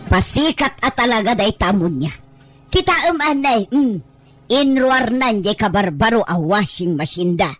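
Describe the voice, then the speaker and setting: A woman's voice: a little high, expressive, measured pace, a bit bright, faint music behind. A woman playing a scornful middle-aged mother in an audio drama, complaining about a neighbor with open disdain.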